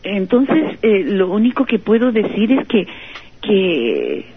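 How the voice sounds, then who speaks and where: A woman speaking as a guest, moved and emotional, halting and repeating words. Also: mid-range voice, very animated, slight background noise. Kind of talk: emotional testimony. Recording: husky voice over phone line.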